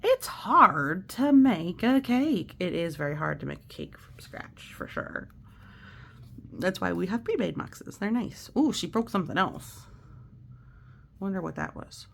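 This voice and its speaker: A woman's voice: lowish voice, animated, moderate pace, creaky, pauses often, a little hiss or hum. A woman reading a picture book aloud with casual asides, chatty and exclamatory, explaining things simply for children and often letting phrases drop to a near whisper.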